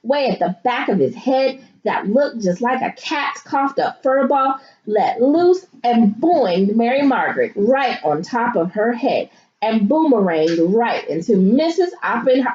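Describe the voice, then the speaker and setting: A woman with a fairly high voice and very lively, swooping intonation, speaking as a primary school teacher to children. She takes her time and stretches key words dramatically. The recording is slightly dull. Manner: expressive, dramatic storytelling with drawn-out emphasis. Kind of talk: animated picture-book read-aloud and lesson for children.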